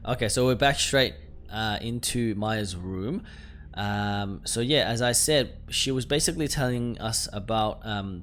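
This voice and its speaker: A man's voice: fairly low pitch, a bit bright. A man hosting a gameplay video, chatting casually with slangy asides and reading story text aloud, with game music behind him.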